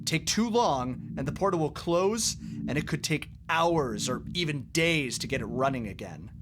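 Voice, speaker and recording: A man playing an eccentric, lonely mad scientist and loyal friend in an amateur audio drama, excitable and halting when he confides. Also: fairly high pitch, lightly acted. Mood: brisk, upbeat.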